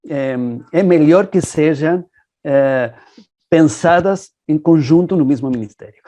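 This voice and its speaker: Lawyer, dark voice. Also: male voice, on the high side. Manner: measured, hesitant with many fillers. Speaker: panelist. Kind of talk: panel discussion answer. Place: online webinar.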